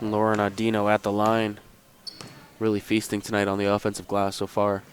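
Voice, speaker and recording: A man under the weather calling a basketball game play by play, rising to shouts on made shots. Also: lowish voice, a little fast, slight background noise. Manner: rises to excited shouts on baskets. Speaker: play-by-play commentator.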